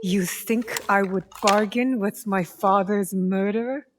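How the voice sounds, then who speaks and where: A woman's voice: mid-range voice, fairly even intonation, takes it slowly, long reverb tail, noisy background, natural voice. A woman playing a guarded, determined young female shinobi bent on revenge in a video game, speaking in a serious, terse way. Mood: cold, accusing. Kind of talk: video game cutscene acting.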